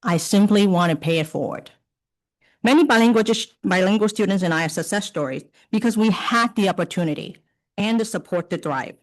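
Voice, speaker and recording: A woman teacher reading a prepared public comment at a microphone in a public meeting room, earnest and measured, stressing key words. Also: medium pitch. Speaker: teacher giving public testimony.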